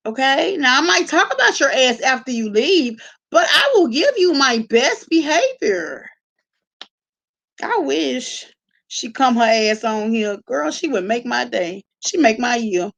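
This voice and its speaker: A woman's voice: sits high, very animated, unhurried, creaky, fairly bright tone. A woman hosting a casual livestream, talking to viewers in an informal, slangy, conversational way. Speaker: live-stream host reacting to online gossip.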